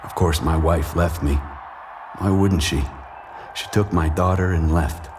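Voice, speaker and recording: An actor playing a weary, washed-up boxer who narrates his haunted past in a measured noir style. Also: low voice, fairly even intonation, noisy background. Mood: weary, reflective. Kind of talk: brooding first-person noir narration in audio drama. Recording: music bed under the voice.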